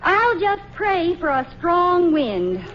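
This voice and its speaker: A woman's voice: extremely high pitch, big pitch swings, takes it slowly, heavily acted. An actress playing a patient housewife and mother with a dryly teasing manner in a 1940s radio comedy. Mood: wry, amused. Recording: muffled, noisy old radio recording.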